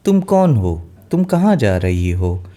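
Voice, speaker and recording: A man's voice: lowish voice, big pitch swings, takes it slowly, dark tone, faint music behind. A man voicing a talking, dried-up male tree in a storybook, earnestly asking questions and pleading for help. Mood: curious, pleading.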